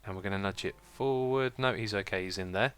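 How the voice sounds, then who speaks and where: A British man giving casual, chatty gameplay commentary as a let's play host, with a slightly croaky voice and a self-mocking manner. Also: on the low side, fast, fairly bright tone. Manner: chatty, self-mocking, laughs at own slips.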